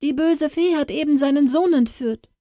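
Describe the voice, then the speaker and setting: A woman's voice: high-pitched, normal speed, mellow, dry recording, clean studio sound, voice pushed up. Woman voicing a frightened young strawberry girl. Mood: urgent.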